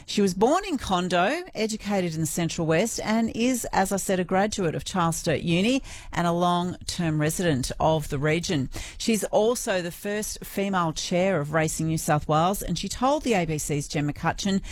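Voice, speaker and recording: A woman's voice: lowish voice, animated, moderate pace, dry recording. Woman reading radio news, dry studio.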